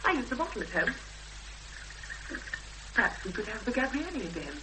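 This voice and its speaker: A woman playing an earnest young woman in a 1970s British radio drama, expressive and hesitant when pressed, on an old recording. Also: on the high side, fairly quick, background noise. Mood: polite, friendly. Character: Emma, earnest young woman searching for missing sister.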